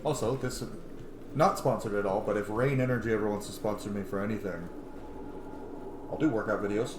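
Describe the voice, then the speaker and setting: A man's voice: lowish voice, steady tone, brisk, background noise. Chatty man, echoey game commentary. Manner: sweary, rambling, chatty. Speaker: gaming streamer. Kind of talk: casual let's play commentary. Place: small home room, indoors. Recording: echoey room with game music. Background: game music and sounds.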